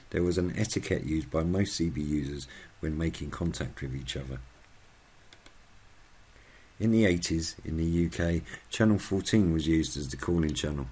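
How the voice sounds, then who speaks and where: Middle-aged man, British accent, low-pitched, husky, scripted video narration. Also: darkish tone. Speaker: YouTube host.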